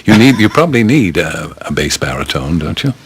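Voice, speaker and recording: Deep-voiced, husky older male radio host. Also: very animated, brisk. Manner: teasing, chuckling, rambling. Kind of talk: casual radio chat. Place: radio studio.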